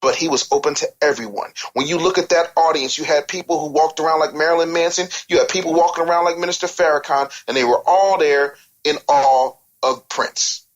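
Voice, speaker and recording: Interview guest, medium pitch, passionate and emphatic, drives points home with rhetorical questions. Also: steady tone, brisk, hardly any pauses, highs cut off. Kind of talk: passionate interview talk about the music business.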